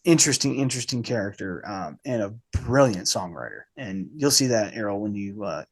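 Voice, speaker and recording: A man's voice: lowish voice, animated, fairly quick. Guy hosting a movie podcast, kinda rambly and informal, bit of a cold in his voice. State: has a cold, slightly off voice. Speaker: podcast host. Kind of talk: casual film review podcast chat.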